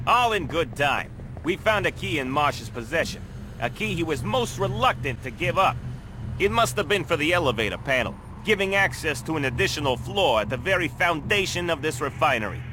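An actor playing an unyielding federal chief in a video game, measured and authoritative. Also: male voice, on the high side, sing-song, noisy background. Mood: stern, commanding. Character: commanding, unyielding federal law enforcement chief. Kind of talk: video game cutscene acting.

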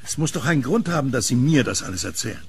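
Casting: male actor as an earnest, puzzled kiosk keeper for horror audio drama, creaky voice with lively intonation. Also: medium pitch, fast talker, a little hiss or hum. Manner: earnest, questioning.

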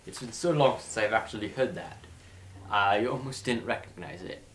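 A man's voice: lowish voice, moderate pace, fairly bright tone, slight background noise. A young man giving a dramatic, mock-formal storybook reading as the host, recorded in a living room on a camera mic a few feet away. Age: young adult. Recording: roomy home recording.

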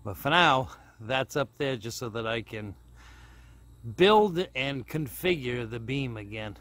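A man's voice: medium pitch, lively intonation, measured pace, fairly bright tone, no room sound. A man giving a plain-spoken, casual step-by-step narration of a hands-on DIY project as he films it. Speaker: DIY hobbyist video host.

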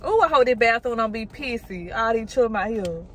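A young woman, chatting loudly and playfully in slang with a friend while vlogging from a car and then a farm on a handheld phone. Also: a little high, expressive, fairly quick. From Southern US. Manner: loud, playful banter in slang. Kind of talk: casual chat. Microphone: phone held at arm's length. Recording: noisy outdoor phone recording.

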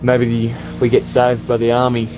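A practical, hopeful survivor in a zombie game roleplay, played by a man. He thinks aloud in a halting, conversational way at a middling pitch. Music plays behind the voice. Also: completely flat delivery, lightly acted, poor audio. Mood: hopeful, reassuring. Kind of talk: improvised game roleplay skit.

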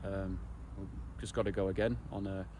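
Flat, halting football coach outdoors. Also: male voice, low voice. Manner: halting, many restarts and fillers. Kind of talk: post-match interview. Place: outdoors beside a football pitch. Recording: outdoor noise behind.

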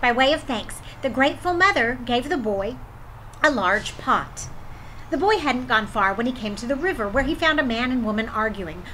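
A woman storyteller reading a children's folktale aloud in an expressive way. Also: a little high, moderate pace, a little hiss or hum, rough recording. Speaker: drama storyteller.

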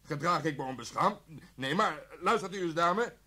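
Exasperated, sarcastic policeman, animated delivery. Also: on the high side, normal speed. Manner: sarcastic, indignant. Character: exasperated, sarcastic male police officer on the phone. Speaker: actor in a radio drama. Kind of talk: Dutch radio play acting.

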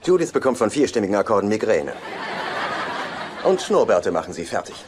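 A man's voice: on the low side, very animated, fairly quick, slight background noise. A man playing a naive, henpecked husband in a dubbed sitcom, chummy and quaint in his way of talking. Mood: eager, chummy. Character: goofy, naive, henpecked husband, eager to be pals.

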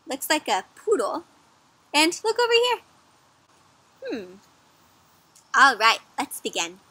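A young woman with a high, bright voice hosts a read-aloud for kids. She speaks expressively, pitching everything to small children and inviting them in with questions. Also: no echo. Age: young adult. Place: outdoors in a garden or patio.

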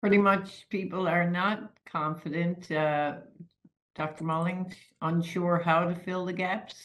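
A woman moderating a video call, summing up audience responses in a relaxed, amused, conversational way. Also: lowish voice, measured pace. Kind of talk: casual summary of poll results.

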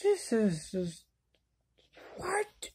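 A woman's voice: a little high, wildly swooping pitch, extremely slow, darkish tone, slightly rough, pitched above the performer's own voice, exaggerated voice. A woman voicing a brash, cocky, hot-headed young sidekick in an anime fan dub, slangy, mouthy and exclamatory, on an amateur home recording. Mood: baffled, sarcastic. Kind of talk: anime fan-dub acting, solo voice clips.

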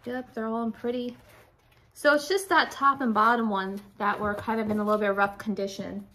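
A woman in her forties with a fairly high voice talks casually to her viewers in a home haul vlog. She sounds slightly out of breath and rambles, often correcting herself as she goes. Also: steady tone, brisk, pushed and bright, rough recording. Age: 40s. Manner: rambling, self-correcting, addresses viewers directly. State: a little out of breath. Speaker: reseller showing flea market finds. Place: furnished room at home, indoors.

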